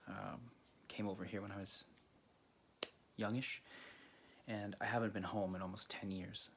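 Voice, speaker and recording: Casting: male video host from Greece, low creaky voice, halting casual storyteller, faint noise behind. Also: flat delivery, a bit bright. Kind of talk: casual storytelling to camera or viewers.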